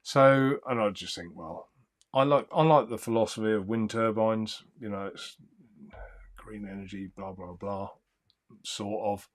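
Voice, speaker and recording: A man hosting a live stream, chatting in a calm, soothing, easygoing way and reading out viewer comments. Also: fairly low pitch, unhurried. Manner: rambling, easygoing, chuckles often. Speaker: livestream host.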